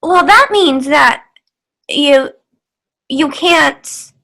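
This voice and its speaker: A woman's voice: high voice, extremely slow, creaky, bright, forceful tone, exaggerated voice. A woman playing a no-nonsense small-town mother in old-time radio style, coaxing and bossy. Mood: curious.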